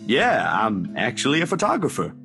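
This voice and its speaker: A man's voice: medium pitch, wildly swooping pitch, normal speed, creaky. Hesitant, kindly ordinary guy over music. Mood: gentle. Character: weary, gentle ordinary man, a troubled photographer. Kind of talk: video game character dialogue. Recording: music behind.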